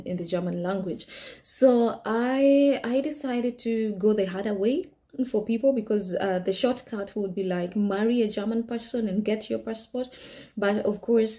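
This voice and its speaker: A young woman from Kenya, speaking English as a second language, tells her own story in an earnest, animated way, peppering it with "you know." Her voice is mellow and warm. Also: mid-range voice. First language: not English. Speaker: vlogger. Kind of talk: personal storytelling.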